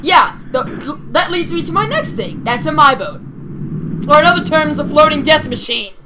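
A woman chatting casually while playing a game, excitable, joking and swearing at the action. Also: sits high, moderate pace, crowd noise. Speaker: gameplay commentator. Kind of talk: casual gameplay chat. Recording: noisy game audio behind.

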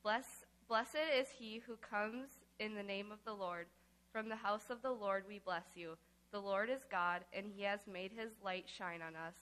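A woman's voice: mid-range voice, steady tone, moderate pace, fairly bright tone, clean tone. A woman reading a psalm aloud as a scripture reader at a church service. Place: large church sanctuary.

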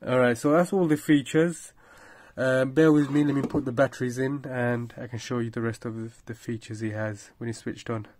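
Man, mid-pitched voice, casual reviewer, plain-spoken, slightly hesitant, step-by-step explaining. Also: fairly even intonation, normal speed, darkish tone. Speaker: product reviewer. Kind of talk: casual product review demonstration.

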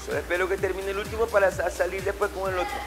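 A man's voice: sits high, level tone, racing, a bit bright, faint music behind. A middle-aged man, a martial arts instructor giving commanding, short, repeated orders and corrections to a class in a gym. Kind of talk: calling out drills and corrections in a class. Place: indoor martial arts gym with matted floor.

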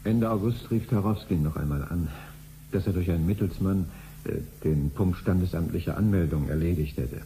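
An actor playing a cautious male client in a 1970s radio play, halting and reserved. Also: low-pitched, warm tone, slight background noise, natural voice, low-quality recording.

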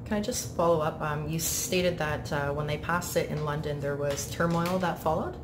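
A Canadian woman conducts an interview from prepared notes. Her voice sits quite low, with clear noise behind it. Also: fairly even intonation, normal speed. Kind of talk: reading prepared questions.

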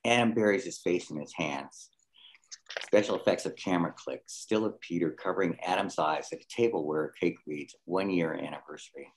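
Casting: male narrator for script table read, low-pitched, delivering stage directions. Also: normal speed, low-quality recording.

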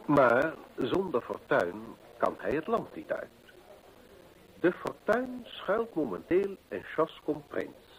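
An actor playing a keen, methodical gentleman detective in an old radio play, deliberate and rhetorical as he reasons aloud. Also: on the high side, wildly swooping pitch, heavily acted, poor audio. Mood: dramatic, speculative.

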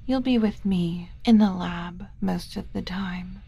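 A woman voicing a gentle, doting alien who coaxes a human like a small child, in a soft ASMR roleplay with frequent whispering. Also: on the low side, gravelly, breathy edge, full character voice. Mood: tender, curious. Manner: coaxing, slow, motherly, talking as if to a small child.

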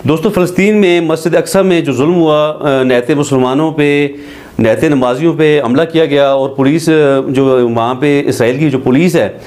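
A middle-aged man with a Pakistani Urdu accent gives earnest, measured commentary straight to camera from a studio, pausing often with hesitations. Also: fairly high pitch, darkish tone. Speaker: advocate commentator. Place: studio with green screen backdrop.

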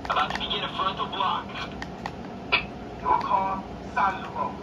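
Actors voicing gruff police officers barking terse radio chatter during a car chase in a game, heard from the TV speakers. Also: medium pitch, fairly even intonation, normal speed, echoey room, recorded from a distance, highs cut off, close to an everyday voice. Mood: urgent. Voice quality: terse, tough cop talk over radio. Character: gruff pursuing police officers, mixed crew. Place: living room, TV speakers. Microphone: phone camera filming the TV. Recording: game audio played from a TV, filmed from across a noisy room.

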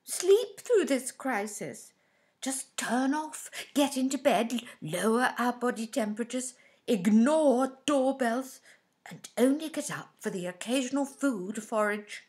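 A woman's voice: a little high, animated, takes it slowly, a little mellow. A woman playing a chatty, whimsical elderly wife in a radio comedy. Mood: musing. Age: older.

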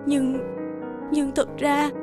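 Emotional young daughter over music. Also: female voice, sits high, monotone, extremely slow. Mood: earnest, pleading. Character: grieving, bitter young daughter defending her dead father. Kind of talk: dubbed cartoon drama acting.

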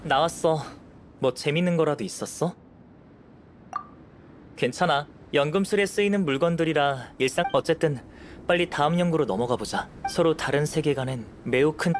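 An actor playing a calm, scholarly young alchemist in an anime game, composed, measured and gently detached. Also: male voice, on the high side, slight background noise, natural voice. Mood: calm, curious.

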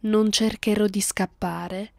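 A woman's voice: mid-range voice, steady tone, takes it slowly, creaky, a little mellow, no echo. A woman playing a cruel, seductive vampire mistress in an ASMR roleplay, speaking commandingly and often close to a whisper. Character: domineering, cruel, seductive vampire mistress addressing her human captive.

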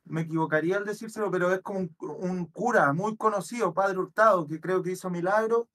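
Young chilean guy on a webcam call, kinda high voice, talks it through slow. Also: a bit bright. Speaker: battle rapper explaining his bars. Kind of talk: casual explanation. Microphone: webcam on a video call.

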